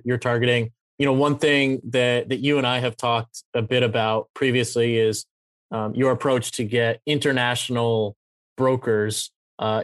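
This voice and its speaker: A man's voice: mid-range voice, no room sound. A man hosting a conversational business interview, rephrasing his questions as he goes. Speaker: show host, interviewer.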